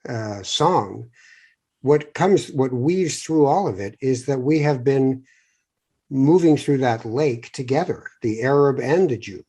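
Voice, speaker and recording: Husky man telling an animated story. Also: medium pitch, takes it slowly. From Morocco. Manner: animated storyteller, acts out quoted voices, frequent restarts. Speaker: podcast host. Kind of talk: conversational interview.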